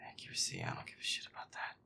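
A man muttering sarcastic, sweary gameplay commentary in a breathy, casual way, close to the mic. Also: racing, in a whisper. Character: feisty werewolf boyfriend playing a scary game. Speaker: gamer playing a horror game.

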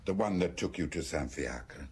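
An actor playing an understanding, quietly inquisitive friend in radio drama, gently probing. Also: male voice, a bass voice, lively intonation, normal speed, slightly rough, heavily acted. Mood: curious, sympathetic. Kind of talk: British radio drama acting.

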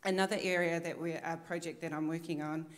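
A woman in her thirties with a New Zealand accent, speaking on a conference panel into a handheld mic, measured and pausing often with "um". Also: low-pitched, steady tone. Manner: measured, frequent "um" pauses. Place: large conference hall.

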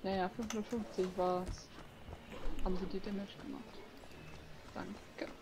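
A woman's voice: mid-range voice, level tone, unhurried, vocal fry, noisy background. A woman chatting casually and teasing herself while playing an online game with a friend. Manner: laid-back, self-mocking, occasional shouting. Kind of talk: casual gaming chat.

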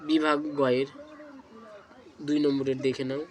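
A man reading out exam questions and answers as a tutor, in deliberate, segmented phrases. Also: mid-range voice, little pitch movement, crowd noise. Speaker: exam tutor, channel host.

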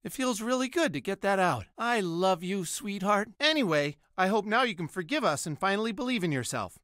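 An actor playing a middle-aged, high-strung American suburban dad in an animated comedy game, foul-mouthed and falsely cheery. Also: male voice, a little fast, dry recording, clean studio sound. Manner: swings between sugary pet names and shrill cursing.

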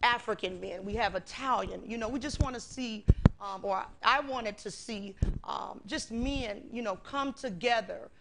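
A woman's voice: a little high, a little slow, vocal fry. A middle-aged woman hosting a religious talk show, saying "you know" often. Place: indoor studio set, seated. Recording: noisy TV audio with clicks.

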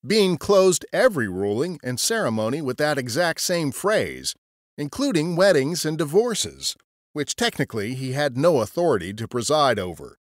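A man's voice: on the high side, sing-song, moderate pace, no echo, clean studio sound. A man narrating a scripted history podcast as a storyteller.

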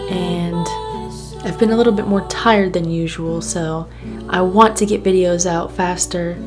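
Young woman, casual vlog narrator, upbeat and candid, music clearly audible underneath. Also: fairly low pitch. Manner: upbeat, open and candid about hardship. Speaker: vlogger. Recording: voice-over over clear background music.

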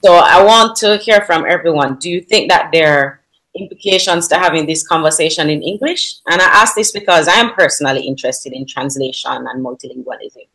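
Moderator: a woman on a video call, low-pitched, measured, posing questions to the panel. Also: expressive, a bit bright, low-quality recording.

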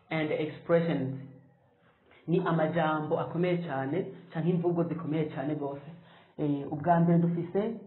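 Male teacher in his 30s, high voice, slow drilled phrases, phone-like echoey room recording. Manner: slow, drawn-out model phrases. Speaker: English teacher. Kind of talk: whiteboard language lesson. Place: small room with whiteboard.

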